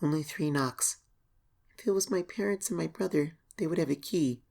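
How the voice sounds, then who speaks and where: A woman voicing an anxious young pony filly, reading her diary as an expressive, hesitant horror story narration. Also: sits low, moderate pace, gravelly, slight background noise. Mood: worried. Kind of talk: dramatic first-person horror story reading.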